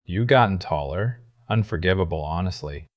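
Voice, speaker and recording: A woman's voice: a bass voice, expressive, moderate pace, no room sound, studio quality, pitched below the performer's own voice. A woman voicing a sly, teasing, weathered drifter, someone's older brother, in an audio story.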